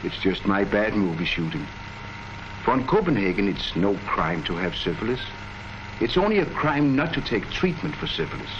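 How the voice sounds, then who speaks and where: An actor playing an earnest army colonel who presents public health facts in a declamatory, rhetorical way, in the style of a 1940s education film. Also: male voice, fairly low pitch, very animated, moderate pace. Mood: earnest, explanatory. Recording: muffled, noisy 1940s film soundtrack.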